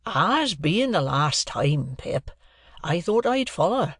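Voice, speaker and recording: A man voicing a kindly, slow-spoken village blacksmith with plain country speech, in a storybook reading. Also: a little high, sing-song, a bit bright, slightly rough, dry recording, voice pushed up, exaggerated voice. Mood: gentle, homely.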